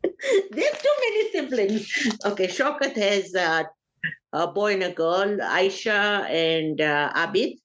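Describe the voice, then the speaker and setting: An older woman from Uganda, a family elder being interviewed, talking in an unhurried, hesitant way with frequent pauses. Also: mid-range voice, big pitch swings, fairly bright tone.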